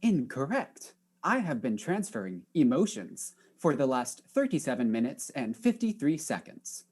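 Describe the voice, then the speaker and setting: A woman's voice: extremely low pitch, very animated, moderate pace, darkish tone, slightly rough, exaggerated voice. A woman playing an insolent AI robot butler who speaks in formal, precise, literal-minded phrases. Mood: flat insistence.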